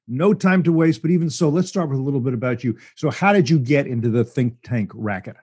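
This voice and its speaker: A man hosting a talk radio interview, his voice slightly husky. He speaks in a folksy, wry way and introduces and questions his guest at an even, mid-range pitch. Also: fairly quick. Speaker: radio talk show host. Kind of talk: broadcast interview.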